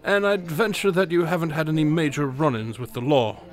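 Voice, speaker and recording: An actor playing a confident young detective who reasons out loud quickly, in an audio drama. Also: male voice, on the high side, lively intonation, natural voice. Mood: lecturing. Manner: rapid, confident reasoning, a bit pompous. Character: deductive detective Spencer Holmes, brisk and self-assured.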